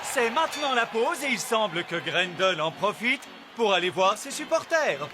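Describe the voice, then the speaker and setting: An actor playing a keen play-by-play announcer in a French cartoon dub, with urgent, punchy delivery. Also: male voice, sits high, sing-song, normal speed, background noise. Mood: announcing. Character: keen, brisk male play-by-play sports announcer. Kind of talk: cartoon sports commentary.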